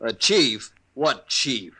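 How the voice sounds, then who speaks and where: Cocky frontier townsman: sharp, jumpy mid-range voice, hostile, sneering, rustic, radio western. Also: medium pitch, big pitch swings, drawn-out words, husky, exaggerated voice. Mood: angry, bitter. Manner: combative, sneering rustic talk. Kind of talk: old-time radio western acting.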